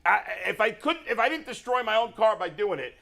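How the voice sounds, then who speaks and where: A middle-aged man with a deep voice and a New York accent, ranting in casual chat as a sports radio co-host. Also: fairly quick, vocal fry. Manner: animated, exasperated ranting.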